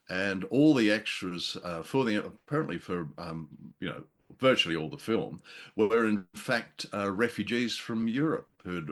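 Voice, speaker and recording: A man co-hosting a podcast in a conversational, halting and thoughtful discussion style. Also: sits low, fairly bright tone. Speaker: podcast co-host. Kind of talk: conversational film history discussion.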